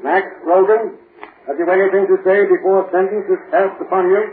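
A man's voice: high voice, steady tone, fairly quick, lightly acted. A man playing a stern, formal courtroom judge with a commanding manner, in old-time radio style. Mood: solemn. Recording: noisy, muffled old radio recording.

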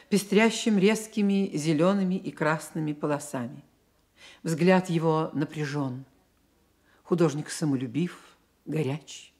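A woman narrating a scripted literary radio play with measured, expressive storytelling. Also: medium pitch.

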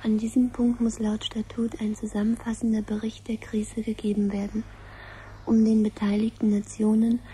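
An actress playing an impersonal announcer, reading an official crisis report in a detached, formal way in a 1970s radio drama. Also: female voice, on the high side, completely flat delivery, normal speed, warm tone, lightly acted. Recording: 1970s radio production, faint background noise.

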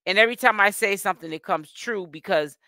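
Chatty Boston woman, bright and clear. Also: medium pitch, quick pace, dry recording, clean studio sound. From Boston, Massachusetts. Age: middle-aged. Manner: chatty, emphatic, calls viewers honey. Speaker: commentary channel host. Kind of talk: casual live commentary.

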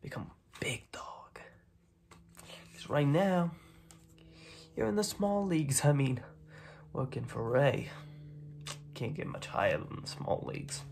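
A young man voicing a smug, menacing mob boss in a soft-spoken, half-whispered ASMR roleplay full of gangster slang. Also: fairly high pitch, animated, takes it slowly, gravelly, long pauses, heavily acted. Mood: patronizing. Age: young adult. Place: small dark room indoors.

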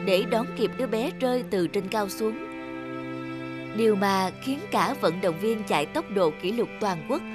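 A woman reading scripted narration for an animated story. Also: mid-range voice.

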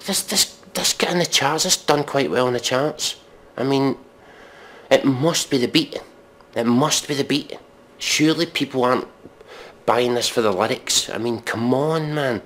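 A man giving a mock dramatic reading in deadpan, with exasperated, scornful, sweary commentary. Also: mid-range voice, a little slow, a little hiss or hum. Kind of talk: deadpan recitation of pop lyrics with sweary commentary.

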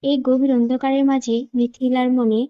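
A woman's voice: high-pitched, level tone, moderate pace, highs cut off. A woman narrator reading a scripted romance story.

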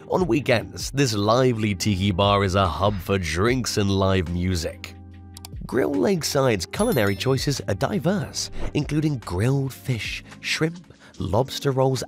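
A man narrating a scripted restaurant countdown in an even, promotional read with odd stresses. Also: fairly low pitch. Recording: voice-over with faint music.

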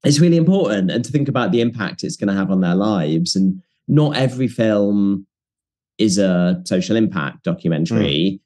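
Deep mellow-voiced man, earnest. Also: lively intonation, moderate pace. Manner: thoughtful, earnest, with filler ums and likes. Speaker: documentary producer, guest. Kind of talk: casual interview chat.

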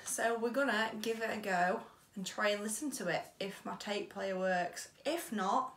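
Young British female vlogger, playful and chatty, bright delivery, recorded in an echoey bedroom. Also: medium pitch, normal speed. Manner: playful, informal. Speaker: vlog host. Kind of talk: chatty unboxing vlog. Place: small home bedroom, indoors. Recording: roomy, distant mic, audible noise.

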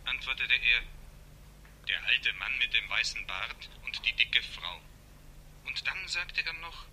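A man's voice: medium pitch, expressive, a little slow, sharp tone, slight background noise, exaggerated voice, low-quality recording. An actor in a 1960s radio crime drama playing a dutiful male psychiatric nurse, reporting precisely over a telephone. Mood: clinical, reporting.

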